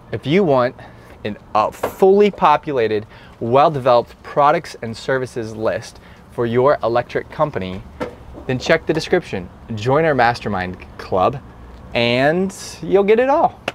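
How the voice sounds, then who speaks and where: A man's voice: mid-range voice, big pitch swings, a little slow, slight background noise. Chatty nasal-voiced man on a job site. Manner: talkative, plainspoken, upbeat. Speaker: electrician and instructor. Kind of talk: casual on-the-job explanation.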